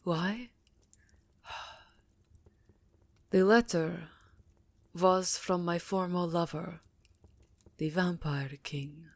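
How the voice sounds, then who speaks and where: A woman playing an imperious, secretive vampire countess in an intimate ASMR roleplay, speaking in a measured, dramatic way that dips into breathy whispers. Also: on the low side, dry recording. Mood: grave, confessional.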